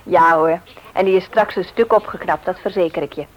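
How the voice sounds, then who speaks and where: A woman playing a brisk, caring wife and former nurse in a radio play. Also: lowish voice, lightly acted. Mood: caring, calm. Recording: noisy old radio play recording.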